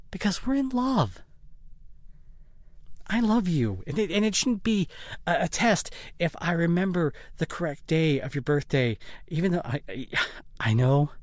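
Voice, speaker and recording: A man playing an apologetic, guilt-ridden boyfriend in an intimate audio roleplay, halting and breathy, often near a whisper, close on the microphone. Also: fairly high pitch, no room sound. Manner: halting, stammering, earnest pleading. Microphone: close studio condenser microphone.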